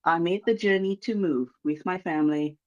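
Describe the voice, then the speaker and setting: A speaker from Malaysia with a Malaysian accent, giving an earnest, measured address over a video call, pausing often with um. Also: mid-range voice, darkish tone, dull audio. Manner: earnest, measured, many um pauses. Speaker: guest speaker from a reconciliation organization. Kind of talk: formal address at a launch event.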